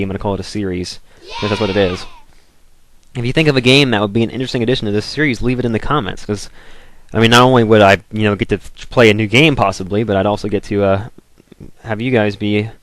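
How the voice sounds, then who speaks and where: A man's voice: fairly low pitch, no room sound. A young man from the southern United States giving casual, rambling commentary over a video game, full of "like" and restarts. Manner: rambling, filler-heavy, restarts, frequent "like".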